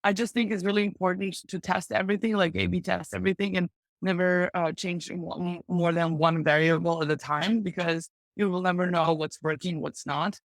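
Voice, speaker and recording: A woman's voice: on the low side, steady tone, a little fast, dry recording, studio quality. A woman guest on a podcast, giving practical e-commerce advice in a chatty, enthusiastic way, sprinkling in lots of "like". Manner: chatty, enthusiastic, full of "like" fillers. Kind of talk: casual advice-giving interview talk.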